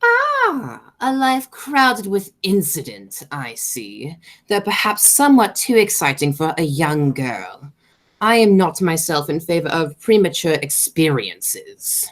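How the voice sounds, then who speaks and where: A woman's voice: low voice, wildly swooping pitch, slow, full character voice. Woman playing swooping, imperious aristocratic aunt. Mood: haughty, brisk. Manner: pompous, declamatory, stumbles over words. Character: imperious, snobbish, money-minded aristocratic English aunt. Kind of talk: stage comedy as amateur radio play.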